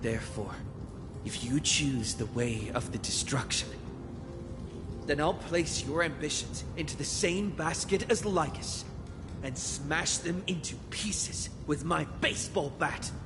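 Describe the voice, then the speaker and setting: A man's voice: on the high side, lightly acted. A man playing a solemn, resolute hero in reverb. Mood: resolute, defiant. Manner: solemn, deliberate speech-making. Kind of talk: video game voice acting. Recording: long hall reverb, music underneath.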